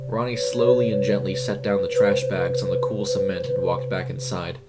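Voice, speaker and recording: A man reading a scripted horror story in a measured, suspenseful way. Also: deep voice, little pitch movement. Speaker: audio fiction narrator. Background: music.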